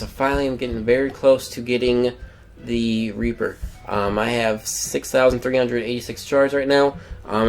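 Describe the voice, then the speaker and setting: Rambling young man narrating a mobile game. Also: mid-range voice. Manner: rambling, many "um" fillers. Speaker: gameplay commentator. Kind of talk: casual game commentary.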